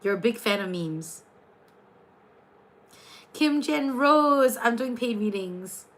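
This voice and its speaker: A woman's voice: a little high, takes it slowly. A young woman with a New Zealand accent, chatting casually with viewers during a late-night livestream as a tarot and astrology reader. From New Zealand, raised partly in Singapore. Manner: chatty, animated, reading out viewer names. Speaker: tarot and astrology reader hosting a live stream.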